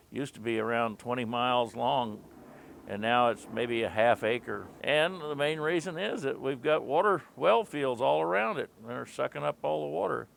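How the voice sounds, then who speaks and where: A man's voice: mid-range voice, vocal fry. A man talking in a conversational interview as a local resident, plain-spoken and folksy.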